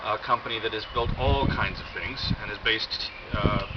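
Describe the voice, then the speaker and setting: An American man giving a casual, rambling show-and-tell with wry, deadpan humour and a halting delivery. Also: fairly low pitch. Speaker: video host. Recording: dull lo-fi audio, loud background noise.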